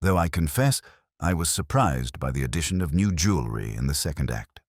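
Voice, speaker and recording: Man reading as male police inspector, very deep, husky, courteous, probing, understated, mystery story. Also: very animated, fairly quick, no echo, studio quality, exaggerated voice. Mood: dry, probing.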